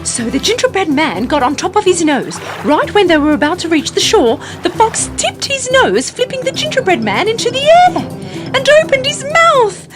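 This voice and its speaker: A woman narrating a children's story in an animated, expressive way. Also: very high voice, normal speed. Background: music.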